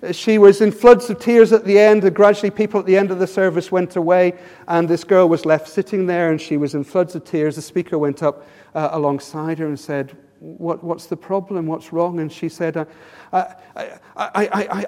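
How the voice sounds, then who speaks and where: An English man preaching with a high voice, earnest and rhetorical, who often restarts his phrases. His sermon rings with the long reverb of a church hall. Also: steady tone, moderate pace, darkish tone. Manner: earnest, rhetorical questions, repeats words when starting phrases.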